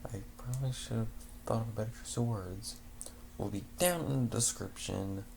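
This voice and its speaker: A man reading a fanfiction story aloud in a halting way, restarting words, then chatting casually to listeners. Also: fairly low pitch, vocal fry, a little mellow, a little hiss or hum. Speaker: fanfiction reader.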